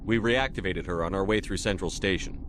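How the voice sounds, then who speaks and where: An actor playing a military commander in a video game, firm, direct and matter-of-fact. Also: male voice, low voice, fairly quick, background noise, natural voice. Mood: steady. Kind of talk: dramatic video game acting.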